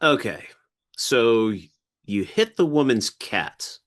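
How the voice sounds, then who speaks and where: A man playing an incredulous police officer of about forty in a table read, deliberate and officious. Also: medium pitch, sing-song.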